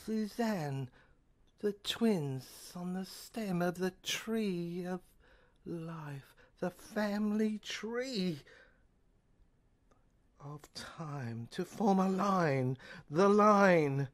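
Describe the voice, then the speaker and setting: Female narrator for an audio serial: unhurried, halting, theatrical delivery with chant-like phrasing. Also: on the low side, lively intonation.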